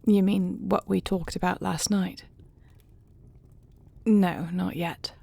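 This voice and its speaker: A woman playing a dragon queen and devoted wife in an audio roleplay, speaking in a formal, measured, earnest way. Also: on the low side, flat delivery, vocal fry, mellow, breathy edge, no room sound, exaggerated voice. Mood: reassuring, resolute.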